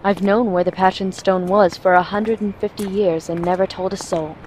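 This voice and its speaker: A short-tempered, insistent woman mentor from a fantasy radio play, played by an actress. Her voice is medium in pitch, with slight background noise. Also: steady tone, moderate pace, darkish tone, natural voice, rough recording. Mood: earnest, explaining. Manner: earnest, insistent. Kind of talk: fantasy audio drama acting.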